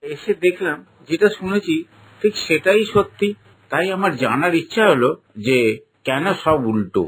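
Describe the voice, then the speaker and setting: A man's voice: on the high side, expressive, normal speed, a bit bright, breathy edge, rough recording. A man voicing a curious, earnest male visitor in a cartoon, speaking in an eager, inquisitive way. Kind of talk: Bengali cartoon dubbing.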